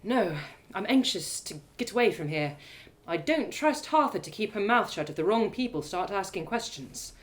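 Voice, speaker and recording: A woman's voice: medium pitch, expressive, normal speed, close to an everyday voice. Woman acting a wary, firm female adventurer. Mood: distrustful. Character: wary, urgent female sword-training travel companion.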